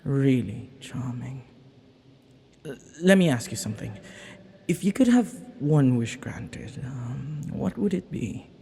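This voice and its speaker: Slow, flirty villain played by a man, softly mellow. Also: medium pitch, sing-song, vocal fry, slightly rough, full character voice. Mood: flirty, wistful.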